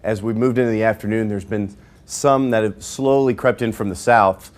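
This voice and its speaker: A man presenting a TV weather forecast off the cuff, somewhat halting as he reworks his sentences. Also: on the low side, fairly even intonation. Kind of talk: unscripted weather presentation, live aircheck.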